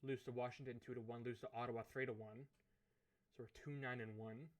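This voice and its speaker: Man, hoarse, gaming commentary, musing, unhurried, middle pitch. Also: flat delivery. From Canada. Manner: musing aloud, unhurried, thinking through choices. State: hoarse, losing his voice. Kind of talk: let's play commentary of a management sim.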